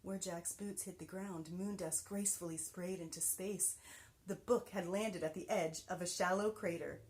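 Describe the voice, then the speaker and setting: A woman teacher reading a children's book aloud with expressive, animated delivery and soft whispered passages. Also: fairly low pitch, moderate pace.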